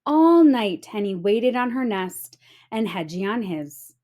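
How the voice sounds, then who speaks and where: Slow, animated woman storyteller. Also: mid-range voice. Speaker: storyteller reading aloud. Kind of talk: expressive children's storybook narration.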